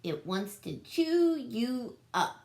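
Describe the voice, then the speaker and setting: A woman's voice: on the high side, big pitch swings, takes it slowly, vocal fry, a little mellow, echoey room, pitched above the performer's own voice, heavily acted. Woman acting a caring alien mama, lifted voice. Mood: caring, cautioning. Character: mama alien, caring, fretful mother sending children off.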